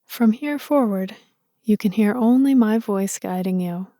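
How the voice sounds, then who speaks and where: A woman leading a guided meditation in a slow, soothing and gentle way. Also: mid-range voice, no echo, clean studio sound.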